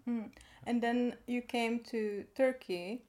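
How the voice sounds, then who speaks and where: Female host, slightly high voice, curious interviewer. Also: steady tone, slow pace, darkish tone. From Ukraine. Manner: curious, asks probing follow-up questions. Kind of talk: casual interview.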